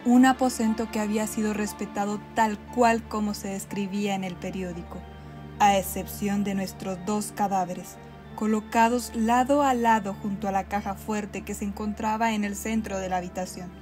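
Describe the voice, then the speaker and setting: Radio drama ghost, female actor: murdered woman, measured and grave storytelling, Mexican accent, mid register, prominent score. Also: mid-range voice, steady tone, a little mellow, faint music behind, natural voice. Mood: solemn. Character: gentle murdered woman's ghost narrating the crime scene. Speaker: amateur actor in a radio play. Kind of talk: scripted dramatic narration.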